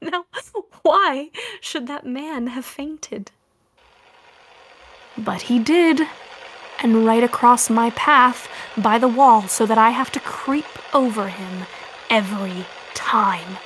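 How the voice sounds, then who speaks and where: A high-pitched, slow-talking young wife coming unhinged in an audio drama. She confides obsessively, slipping between hushed secrecy and eerie singsong, on a hissy found-tape recording. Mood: gleeful madness. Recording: noisy lost-tape recording.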